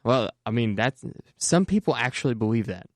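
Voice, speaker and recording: A man's voice: mid-range voice, moderate pace, no echo. A man co-hosting a local podcast, chatting casually and loosely with filler words. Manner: relaxed, with filler "uh"s. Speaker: podcast co-host. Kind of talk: casual chat.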